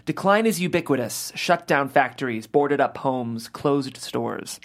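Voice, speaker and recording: A man's voice: medium pitch, animated, unhurried. A man hosting a podcast table read, talking casually and reading out script directions. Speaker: host, reader of stage directions.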